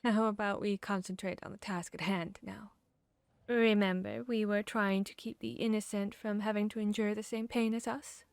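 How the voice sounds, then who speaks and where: Female vampire hunter, played by a woman for ASMR audio drama: confiding, bookish companion, mid-range voice, intimate pauses, dry close mic. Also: medium pitch, steady tone, smooth, no room sound, close to an everyday voice. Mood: focused, reassuring.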